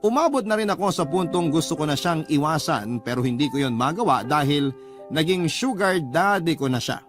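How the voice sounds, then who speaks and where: A man's voice: fairly high pitch, animated, a little fast, soft background music. A Filipino man giving a dramatic first-person narration of a radio love story.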